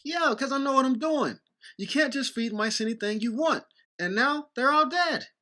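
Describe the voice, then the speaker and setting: A woman's voice: high-pitched, expressive, normal speed, bright, forceful tone. A woman playing an exasperated, stern human father in an audio drama, scolding and emphatic. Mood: angry.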